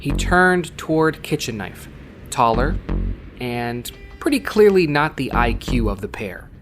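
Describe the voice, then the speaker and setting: A man's voice: mid-range voice, very animated, unhurried. A man narrating a first-person cyberpunk story in a conversational, wry, dramatic audiobook style. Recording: voice over prominent synthwave music. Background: synthwave music soundtrack.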